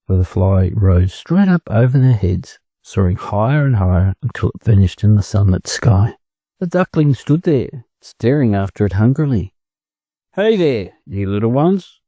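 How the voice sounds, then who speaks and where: An Australian man narrates a children's story for learners. His voice is mellow with expressive, varied pitch, recorded close and dry. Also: moderate pace, no echo.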